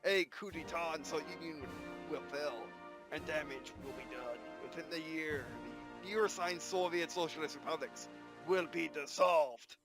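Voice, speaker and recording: A man putting on the voice of a grave American president reading a farewell address in a slow, solemn way. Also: on the high side, music in the background, close to an everyday voice.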